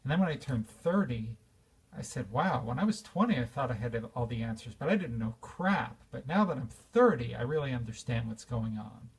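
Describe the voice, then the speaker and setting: Reflective guy in his 50s teaching, kinda husky, mic a bit far off. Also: mid-range voice, lively intonation, normal speed. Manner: earnest and reflective, with fillers and restarts. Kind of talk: informal spiritual talk to an audience.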